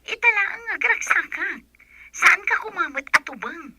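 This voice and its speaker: A woman's voice: very high voice, very animated, moderate pace, bright, forceful tone, exaggerated voice. A woman with an Ilocano Filipino accent playing a pleading, scheming daughter in a radio drama.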